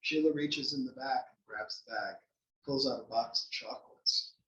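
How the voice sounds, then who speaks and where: Guy narrating a script at a table read, normal pitch, echoey, far from the mic. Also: moderate pace, a bit bright, dull audio. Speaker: narrator reading stage directions. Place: library room, table read. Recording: echoey library room, distant mic.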